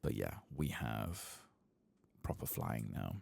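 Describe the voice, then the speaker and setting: A man giving soft-spoken ASMR commentary over video game play, hushed and at times slipping into a whisper close to the mic. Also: extremely low pitch, sing-song, slow pace, creaky. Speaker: host of a gameplay channel.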